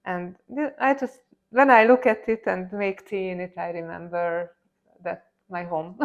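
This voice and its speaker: Hungarian accent, woman, gravelly voice, muffled lo-fi sound, halting thoughtful talk. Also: lowish voice, fairly even intonation. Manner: thoughtful, halting, with frequent restarts and soft laughs. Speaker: interview guest. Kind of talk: casual interview conversation.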